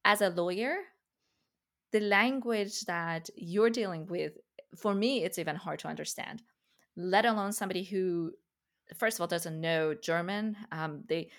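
A woman's voice: on the low side, a little slow, a bit bright. A woman whose first language is Farsi, hosting a casual conversation and talking thoughtfully with light laughs. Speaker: podcast host.

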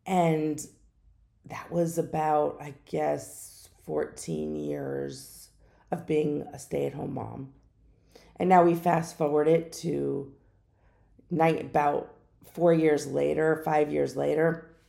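A middle-aged woman hosting her own podcast, speaking in an unhurried, musing way with some hesitations. She has a naturally deep voice that is hoarse from a lingering cough. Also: level tone, dark tone. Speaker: podcast host. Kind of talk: reflective solo podcast talk.

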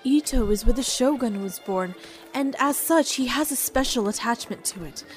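A woman's voice: on the high side, expressive, normal speed, soft background music, natural voice. A woman voicing a cheerful female companion who explains things in a teasing, upbeat way.

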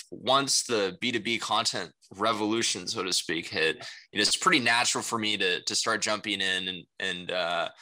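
Bright, low-pitched young man chatting. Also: rough recording. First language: Mandarin Chinese. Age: young adult. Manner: rambling, frequent "you know" and "right?" tags. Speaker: interview guest, salesperson and rapper. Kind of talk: casual conversational interview answers.

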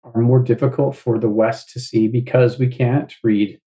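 A man talking as an interview guest, measured and thoughtful, with frequent fillers. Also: medium pitch, steady tone, dark tone, muffled recording. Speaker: guest author. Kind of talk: casual interview talk.

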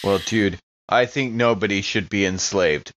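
A man's voice: fairly low pitch, unhurried, fairly bright tone. A man voicing an earnest, blunt, slangy dude in a tabletop game, casual and laid-back.

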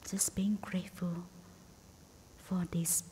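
A woman meditation teacher guiding a session in a hushed, breathy, near-whispered voice, in short phrases with gentle pauses. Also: flat delivery. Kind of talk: guided meditation. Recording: faint rumbly background noise.

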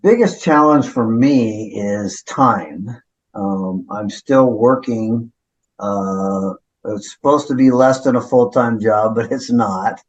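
A middle-aged man, a pastor, answering questions in a reflective, low-key way, often checking in with "right?". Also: takes it slowly, highs cut off. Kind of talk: conversational interview.

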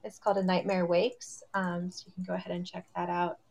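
A woman's voice: fairly low pitch, little pitch movement, brisk, gravelly, darkish tone. A woman moderating a panel in casual chat, effusive and encouraging.

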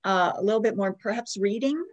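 A woman's voice: mid-range voice, a little fast, dull audio. An American woman in her forties hosting a podcast, interviewing in a chatty, affirming, conversational way. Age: 40s. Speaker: podcast host.

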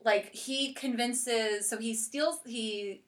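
A woman's voice: on the high side, steady tone, slow pace. An American woman hosting a podcast, chatting casually and informally with plenty of "like". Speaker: podcast host.

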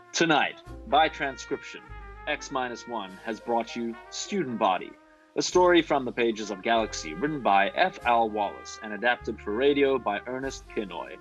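A vintage radio broadcast with music behind the voice. An American man announces in scripted 1950s style at a middle pitch. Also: poor audio.

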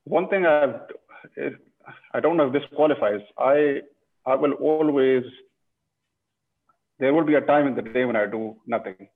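A man's voice: medium pitch, measured pace, pauses often. A man from Pakistan, a guest in a casual interview, speaking reflectively with many restarts and "you know"s. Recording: tinny phone-like line.

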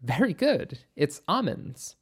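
A narrator voicing a composed, observant woman doctor with measured, precise speech and a wryly playful streak in an audiobook story. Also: sits low, big pitch swings, slightly rough, full character voice. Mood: matter-of-fact.

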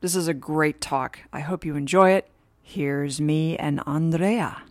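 A woman's voice: low voice, measured pace, a little mellow, no echo. A woman hosting an interview podcast, reading an upbeat scripted introduction and then chatting with her guest. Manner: enthusiastic, emphatic list delivery. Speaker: podcast host.

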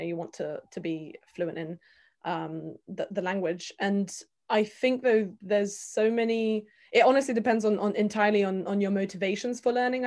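A woman's voice: mid-range voice, darkish tone. A young woman in casual conversation, talking thoughtfully with frequent fillers and restarts. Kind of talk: casual chat.

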